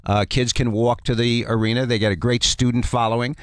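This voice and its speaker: Guy doing sports radio analysis, medium pitch, halting explainer style, dry studio sound. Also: little pitch movement, clean tone, dry recording. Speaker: guest college basketball analyst.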